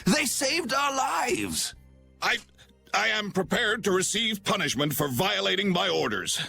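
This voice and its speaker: An actor playing a bumbling, loyal young knight who talks in a stiff, formal, stammering way. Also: male voice, high voice, sing-song, unhurried, gravelly, bright, forceful tone, husky, heavily acted. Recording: game music underneath.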